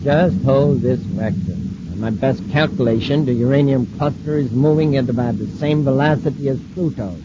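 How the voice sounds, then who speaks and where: An actor playing a stubborn, scheming fugitive space prospector, terse and insistent, in an old-time radio sci-fi serial. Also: male voice, mid-range voice, normal speed, few pauses, close to an everyday voice. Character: stubborn, wary male space prospector on the run. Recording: very noisy, muffled old radio recording.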